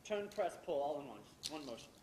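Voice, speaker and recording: A man's voice: on the high side, animated, fast, natural voice. A man acting an older, established playwright in an amateur stage play, sly and condescending, with a theatrical, faux-genial delivery. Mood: fussing, distracted. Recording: echoey stage recording, faint noise.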